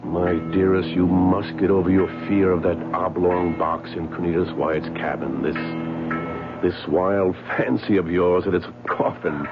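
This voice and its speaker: An actor playing a weary, reassuring husband, an attorney of the nineteenth century, in an old-time radio drama. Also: male voice, sits low, steady tone, measured pace. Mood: soothing. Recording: muffled old radio broadcast, loud music.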